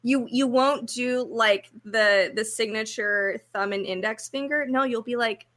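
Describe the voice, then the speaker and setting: Unhurried woman with a highish voice. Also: fairly bright tone. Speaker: podcast co-host. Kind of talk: casual banter.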